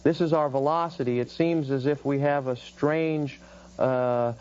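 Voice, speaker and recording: Local station weatherman, voiced by a man: even, mellow delivery, a few hesitations, muffled lo-fi recording. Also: medium pitch, clean tone, lightly acted. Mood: concerned. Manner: steady, measured forecast delivery with small hesitations. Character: calm male TV meteorologist on a local station. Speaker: weather presenter. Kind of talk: amateur broadcast role-play.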